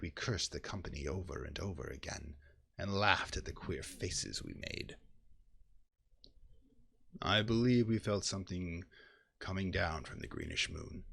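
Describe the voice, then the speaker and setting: A man giving a dramatic, theatrical horror reading in an intense, brooding manner, swelling from hushed passages to loud ones. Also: low voice, gravelly. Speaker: reader of a horror story.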